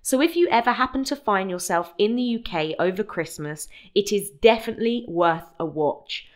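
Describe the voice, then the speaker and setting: A British woman presenting a scripted educational podcast, explaining things clearly in an instructive way. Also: mid-range voice, expressive, normal speed. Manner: clear, explanatory, spells out words. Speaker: podcast host.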